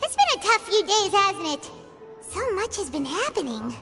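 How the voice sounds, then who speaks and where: A woman voicing a tiny, excitable, talkative fairy companion in a video game, with a squeaky childlike voice and an exclamatory manner. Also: normal speed, music in the background, heavily acted. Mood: chatty, relieved. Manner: refers to self in third person, exclamatory.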